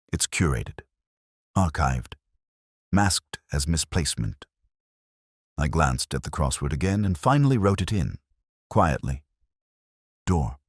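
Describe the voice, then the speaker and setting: A man playing a weary, wry older police inspector, narrating a literary audio drama in a measured, understated, reflective way. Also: very deep voice, no room sound, studio quality, heavily acted. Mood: wry, brooding.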